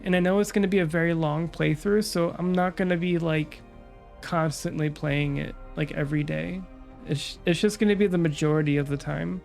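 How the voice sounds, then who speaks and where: Young woman, stuffy nose, calm relaxed chat, many fillers, faint background music. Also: deep voice, moderate pace, vocal fry. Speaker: VTuber streamer. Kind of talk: casual stream chat.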